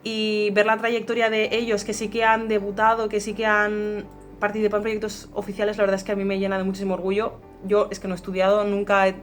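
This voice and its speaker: A woman's voice: mid-range voice, monotone, fast. Female amateur voice actor, conversational, level intonation, faint music bed. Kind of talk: casual interview testimonial.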